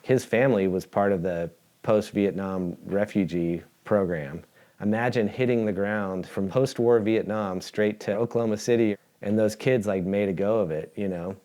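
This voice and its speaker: Low-voiced skater reminiscing. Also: male voice, moderate pace, warm tone, slight background noise. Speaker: fellow skater interviewed.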